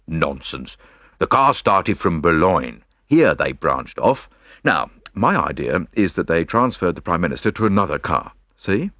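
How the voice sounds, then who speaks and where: Cocksure police inspector as put on by a male reader: low-pitched, brisk, blunt, chatty, for a detective story. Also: big pitch swings, sharp tone, dry recording, clean studio sound, heavily acted. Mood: dismissive.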